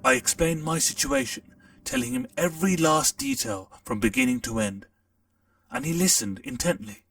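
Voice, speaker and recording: A man narrating a short audiobook in the first person with an expressive storytelling delivery. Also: medium pitch, normal speed. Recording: distant mic, faint music.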